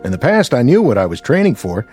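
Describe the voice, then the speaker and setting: A seasoned astronaut, a confident man with dry, easygoing delivery. His mid-pitched voice swings expressively in radio drama style, over faint music. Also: huge pitch swings, fast, darkish tone. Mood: respectful, probing. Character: confident, wry, dedicated veteran male astronaut commander. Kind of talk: naturalistic radio drama acting.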